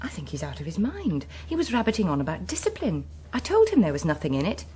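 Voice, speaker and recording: Animated, mellow-voiced young Englishwoman. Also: fairly low pitch, fast. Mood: defensive. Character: self-assured, dismissive young female Member of Parliament. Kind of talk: British radio drama acting.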